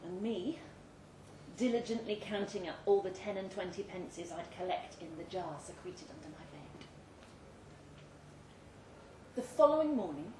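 A woman's voice: mid-range voice, a little mellow. British woman reading a story, roomy echo. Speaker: story reader. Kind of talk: literary short story read aloud at a live event. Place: indoor live reading event venue. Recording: roomy live venue with faint background noise.

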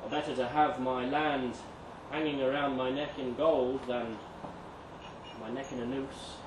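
A man giving an expressive, theatrical live reading of a comic historical monologue, swelling and hushing for drama. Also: mid-range voice, unhurried. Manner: expressive, dramatic, with emphatic swells and hushed drops. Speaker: story reader. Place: live reading event in a venue. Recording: echoey live room, distant mic, noisy ambience.

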